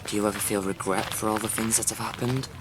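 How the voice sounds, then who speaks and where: Dutiful but unsure fantasy hero, male actor for audio drama, lowish voice, earnest, subdued delivery. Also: fairly even intonation, fast, loud music behind the voice, lightly acted. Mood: troubled. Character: dutiful, self-doubting chosen hero.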